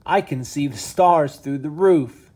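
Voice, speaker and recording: A man's voice: a little high, a little slow, darkish tone, husky. A man reading aloud and voicing a timid, wide-eyed young bear cub in a storybook.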